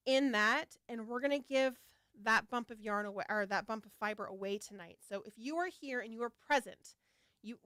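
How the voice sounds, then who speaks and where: A woman's voice: a little high, a bit bright, dry recording, clean studio sound. Nasal, chatty woman hosting a livestream. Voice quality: slightly nasal from a head cold. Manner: chatty, scattered, laughing, casual swearing. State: getting over a head cold. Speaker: yarn shop owner hosting a launch livestream. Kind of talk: casual livestream chat.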